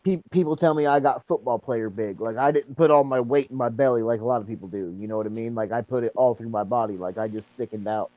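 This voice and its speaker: A man's voice: mid-range voice, fast, hardly any pauses, warm tone, lo-fi sound. A man in his thirties chatting casually, rambling with lots of fillers and repeating himself. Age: 30s. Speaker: support group member. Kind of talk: casual chat.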